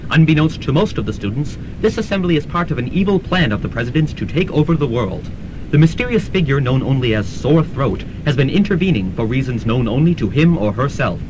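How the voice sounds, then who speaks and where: A man's voice: animated, fairly quick, close to an everyday voice. A man playing a solemn announcer, narrating a comic radio serial as scripted. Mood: dramatic. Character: solemn male serial announcer recapping the story. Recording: noisy, rumbling early-1990s student radio recording.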